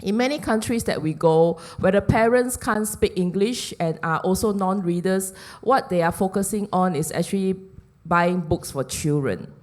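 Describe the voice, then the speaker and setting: Woman, lowish voice, earnest, steady, explanatory. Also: normal speed. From Singapore. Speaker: book sale founder, forum guest. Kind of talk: press forum talk. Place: hotel function room.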